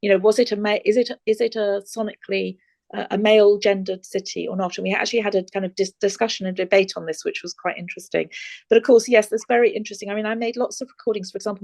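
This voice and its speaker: Woman, lecturer, fielding questions, slightly gravelly, dry close sound, hesitant, video call. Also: medium pitch, a little mellow, no room sound. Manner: thoughtful, hesitant with many fillers. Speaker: guest lecturer. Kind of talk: answering audience questions.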